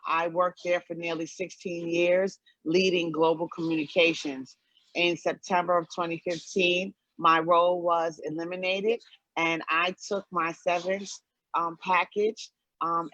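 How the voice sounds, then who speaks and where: A woman's voice: fairly low pitch, fairly bright tone, dry recording. Dull-sounding recording of a middle-aged American woman. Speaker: guest speaker, small business owner. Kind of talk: semi-prepared personal introduction. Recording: dull, dry lo-fi audio.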